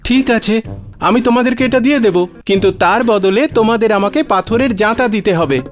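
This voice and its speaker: A man's voice: sits high, normal speed, faint music behind, close to an everyday voice. A man voicing a poor, humble younger brother in a cartoon fairy tale, speaking earnestly and respectfully. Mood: agreeable. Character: poor, humble, helpful younger brother, desperate but polite.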